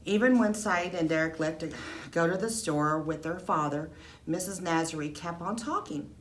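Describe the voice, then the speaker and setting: A woman's voice: sits low, a bit bright. A woman reading a children's story aloud as a teacher would, narrating in the first person.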